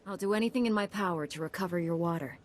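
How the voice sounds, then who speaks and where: An actress playing a composed, confident young Jedi knight in a video game, speaking with assurance. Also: female voice, on the low side, quick pace, natural voice. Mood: composed confidence. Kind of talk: video game dialogue acting.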